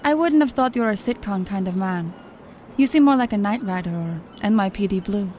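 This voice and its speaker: A woman's voice: mid-range voice, expressive, brisk, darkish tone, slight background noise, lightly acted. A woman acting a self-assured, teasing crime boss in an audio drama, with a sardonic delivery. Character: cool, teasing female crime boss who hires killers.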